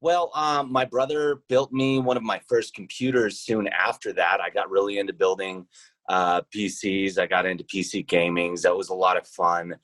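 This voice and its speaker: A man's voice: deep voice, flat delivery, normal speed, a bit bright, no room sound. A man in his thirties chatting casually as a guest on a podcast. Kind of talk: casual chat.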